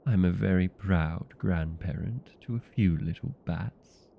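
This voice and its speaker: A man voicing a courteous, proud old vampire in a comedic audio roleplay, speaking formally and unhurriedly with a gravelly voice. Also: sits low, unhurried, narrow, tinny audio, a little hiss or hum. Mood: reflective, fond.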